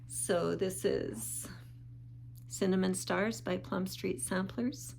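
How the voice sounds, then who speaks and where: A woman talking casually in a show-and-tell vlog, calm and unhurried with small pauses, sounding a bit sniffly. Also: mid-range voice, vocal fry, a little hiss or hum. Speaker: vlogger.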